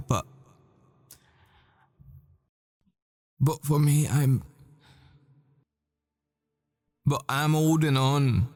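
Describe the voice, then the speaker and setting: A man playing a troubled boyfriend, a professor and assassin, in a halting, stammering audio roleplay. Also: mid-range voice, a little mellow. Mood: desperate pleading. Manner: halting, stammering, repeats himself. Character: desperate boyfriend, dazed math professor and assassin. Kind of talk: dramatic audio roleplay acting.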